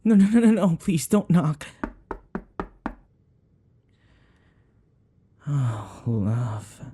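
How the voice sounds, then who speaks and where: A man playing a possessive, obsessive dating-game character in an intimate ASMR roleplay, softly coaxing with endearments, sighs and whispers. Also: on the high side, very animated, slow pace, husky, full character voice. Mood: anxious, pleading.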